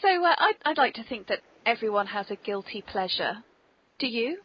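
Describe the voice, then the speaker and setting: An English woman hosting a podcast interview, chatting playfully with polite, theatrically expressive phrasing. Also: a little high, moderate pace, slightly rough. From Cornwall, England. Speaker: podcast host interviewing an author. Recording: dull, lo-fi early podcast recording.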